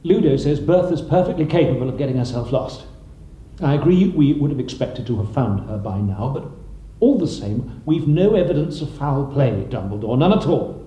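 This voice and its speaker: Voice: mid-range voice, animated, moderate pace, dark tone, close to an everyday voice. A narrator voicing an older male government minister, pompous and blustering, in a roomy recording. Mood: dismissive.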